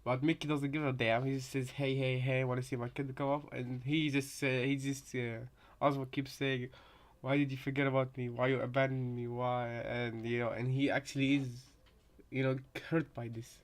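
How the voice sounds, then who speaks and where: Flat-toned man musing through casual commentary. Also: mid-range voice, moderate pace. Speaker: co-commentator reacting to game voice lines.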